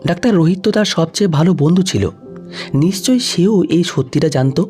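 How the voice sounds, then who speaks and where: Mellow man narrating, slightly high voice. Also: lively intonation, a little fast. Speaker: audio story narrator. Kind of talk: scripted emotional story narration. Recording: music under the voice. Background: background music.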